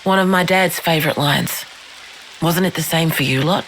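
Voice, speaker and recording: A woman voicing a calm, level-headed leader in a video game cutscene, composed and reassuring. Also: low-pitched, normal speed, a little hiss or hum, low-quality recording. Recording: music behind the voice.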